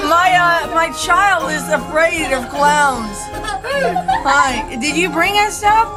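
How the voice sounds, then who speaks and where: A woman calling out in loud, excited exclamations. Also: very high-pitched, slow. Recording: loud music and crowd noise over voice. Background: loud music and crowd.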